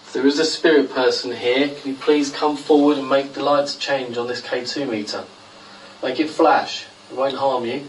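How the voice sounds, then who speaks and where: An actor playing an earnest, polite ghost hunter, making formal appeals to the unseen in an audio drama. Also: male voice, mid-range voice, steady tone, normal speed, recorded from a distance, slight background noise, natural voice, rough recording. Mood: earnest, calling out.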